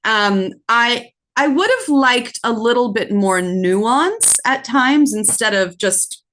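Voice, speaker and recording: Slow-speaking woman judge, native French speaker. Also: a little high, expressive, a bit bright, a little hiss or hum. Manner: measured, constructive. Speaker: singing competition judge. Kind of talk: spoken critique to a contestant. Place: video call.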